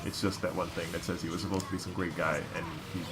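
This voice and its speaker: A man's voice: sits low, little pitch movement, quick pace, loud music behind the voice. A man giving casual game commentary, chatty and rambling as he explains things. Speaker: let's play co-commentator. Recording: noticeable background noise.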